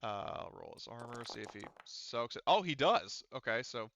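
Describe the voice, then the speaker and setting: A man's voice: medium pitch, animated, moderate pace, fairly bright tone, a little hiss or hum. A man running a tabletop roleplaying game as game master, talking through dice rules in a casual, profane way over slightly dull audio.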